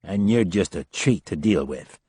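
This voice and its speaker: A man's voice: low voice, lively intonation, measured pace, dark tone, slightly rough, no room sound, heavily acted. A man doing a brash, scornful male operative. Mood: curt. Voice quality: blunt, scornful, cursing.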